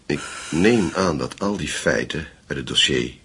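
Very deep, husky male investigator. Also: animated, measured pace, pushed and bright, heavily acted. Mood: thoughtful, probing. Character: grave, methodical male investigator piecing together a wartime secret. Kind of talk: Dutch radio play acting.

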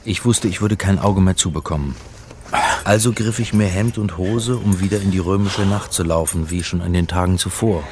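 An actor playing a middle-aged scholar of religion who narrates a radio crime drama in an articulate, slightly pedantic way. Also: male voice, low voice, normal speed, background noise, natural voice. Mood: brooding, wry. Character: bookish male scholar of religion narrating his story.

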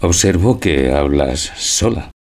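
A man acting in a radio play as a doting, cultured old husband, speaking in a courtly, measured, solicitous and theatrical way. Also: a bass voice, lively intonation, a little mellow, heavily acted. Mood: meek, solicitous.

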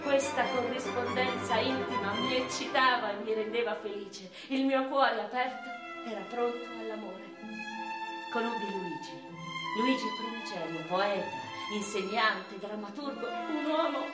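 A woman playing a passionate eighteenth-century noblewoman poet in a theatrical first-person monologue, with deliberate, expressive phrasing. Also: on the high side, natural voice. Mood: tender, happy. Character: passionate 18th-century noblewoman poet recalling her life. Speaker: stage actress performing a radio theatre monologue. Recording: echoey room sound with music behind.

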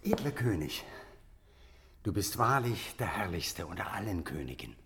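Scheming bastard half-brother to a king: low, animated, insinuating flatterer for fantasy audio drama. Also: full character voice. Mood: sly flattery. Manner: flattering, insinuating, deliberate emphasis. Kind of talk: fantasy radio drama acting.

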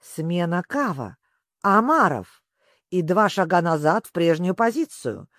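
A storybook reader puts on a brisk griffin creature. It is bossy, blunt and given to loud interruptions, with lively swings in pitch in a dry, close recording. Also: dry recording. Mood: boisterous. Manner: matter-of-fact, interrupting, shouting.

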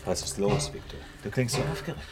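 An actor playing a calm, inquisitive detective in a radio drama, composed and probing as he questions a friend. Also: mid-range voice, brisk, very noisy, natural voice.

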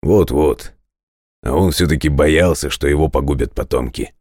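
A man playing a mighty, ageless thunder god in an audio fairy tale, commanding and clearly spoken. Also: darkish tone, dry recording, studio quality. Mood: confiding, proud. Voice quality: very deep, resonant, clearly enunciated. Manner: weighty, commanding, deliberate.

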